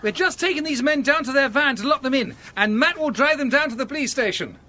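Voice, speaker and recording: A brisk, kindly middle-aged dad in a children's radio-style play. His voice is bright and very lively, with a hearty, reassuring manner. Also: very high-pitched, full character voice. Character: brisk, kindly father of schoolboy detective. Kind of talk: children's audio drama acting.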